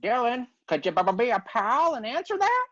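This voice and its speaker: A woman's voice: a little high, big pitch swings, fairly quick, no echo. A woman playing a timid, kind, earnest bird with a stammering manner in a comedy table read. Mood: pleading. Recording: dry, dull lo-fi audio.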